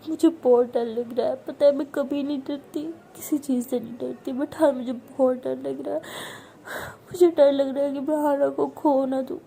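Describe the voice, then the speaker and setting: A woman's voice: on the high side, level tone, normal speed, dark tone, slight background noise, natural voice. A woman voicing a desperate young woman who pleads tearfully over someone she loves. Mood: pleading.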